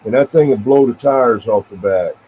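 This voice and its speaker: A man's voice: mid-range voice, moderate pace. Older American man, tinny radio sound. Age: 70s. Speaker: CB radio operator and live streamer. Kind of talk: casual rambling radio chat. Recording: tinny band-limited radio audio with faint noise.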